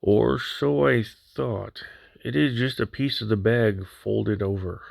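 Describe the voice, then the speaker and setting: Deep-voiced casual man, dry voice-over. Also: fairly even intonation, slow pace, gravelly, no echo. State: recovering from near voice loss. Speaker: scale model builder. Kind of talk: voice-over unboxing walkthrough.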